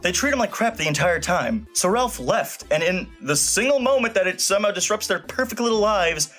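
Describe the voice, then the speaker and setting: A man's voice: high voice, lively intonation, a little fast, fairly bright tone, soft background music. A man delivering a scripted comedic film review in a snarky, blunt and emphatic way. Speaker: video reviewer.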